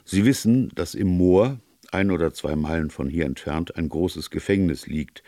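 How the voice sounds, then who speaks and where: A man voicing a grave, plain-spoken police colonel in a storybook reading, earnest, formal and deliberate. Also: sits low, mellow, no echo, studio quality, natural voice. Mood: grave, emphatic. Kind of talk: audiobook character dialogue.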